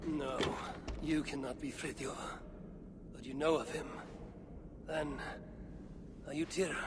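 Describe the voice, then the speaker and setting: Give the imperious lord of Norse gods, acted by a man. His voice sits a little high, and he speaks bluntly in archaic, formal phrases, as in a dramatic video game, with clear noise in the background. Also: steady tone, unhurried, close to an everyday voice. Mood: impatient. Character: proud, commanding male Norse god, lord of Asgard.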